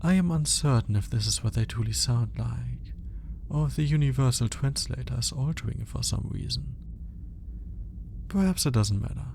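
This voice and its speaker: A man playing a cold, condescending alien scientist in an ASMR roleplay, speaking in a clinical, formal, deliberate way. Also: medium pitch, expressive, vocal fry, a little mellow, slight background noise. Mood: clinical, intrigued. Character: cold, aloof male alien scientist studying a human captive.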